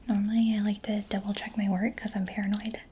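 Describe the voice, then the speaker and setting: A woman performs a personal-attention ASMR roleplay in a breathy whisper, very close to the mic. Her tone is dark and soft, with little rise or fall as she murmurs gently and takes her time. Some ambient noise sits behind her. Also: mid-range voice, little pitch movement, fast talker. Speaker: ASMR creator playing a robot mechanic. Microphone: close-up sensitive microphone.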